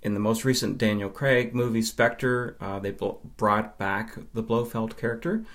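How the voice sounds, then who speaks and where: A man from Nova Scotia, answering interview questions as a podcast guest in a measured, explanatory way with pauses. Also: lowish voice, steady tone, fairly bright tone. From Nova Scotia, Canada. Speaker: podcast guest, film fan.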